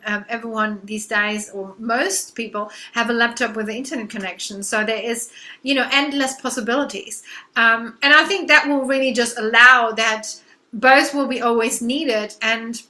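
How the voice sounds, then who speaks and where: A woman's voice: fairly high pitch, bright, forceful tone. A woman with a German accent, a guest coach being interviewed over a video call, enthusiastic, with frequent "um"s and "you know"s.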